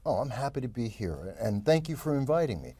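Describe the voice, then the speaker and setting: Fifties New Yorker, interview guest, husky and loose, full of restarts. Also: male voice, mid-range voice, sing-song, a little fast, dark tone, no room sound. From the Bronx, New York. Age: 50s. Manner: rambling, frequent fillers and restarts. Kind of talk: casual interview talk.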